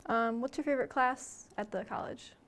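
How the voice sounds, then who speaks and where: A woman's voice: fairly high pitch, moderate pace, fairly bright tone. A young woman student journalist from Michigan, chatting casually and hesitantly, with lots of fillers. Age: young adult. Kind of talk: casual interview chat.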